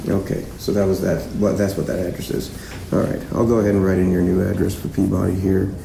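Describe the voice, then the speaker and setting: An actor playing a methodical small-town police chief conducting a recorded interview in a halting, procedural way, in a naturalistic fiction podcast. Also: male voice, deep voice, completely flat delivery, gravelly, noisy background. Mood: measured, probing. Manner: procedural, halting, full of hesitations. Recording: roomy interview-room echo, music behind.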